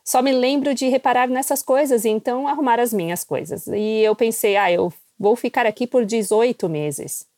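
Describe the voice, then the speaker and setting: A woman's voice: on the high side, moderate pace, few pauses, mellow, no echo, clean studio sound. A woman who is a missionary guest, recounting her experiences in a candid, reflective way. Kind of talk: personal storytelling.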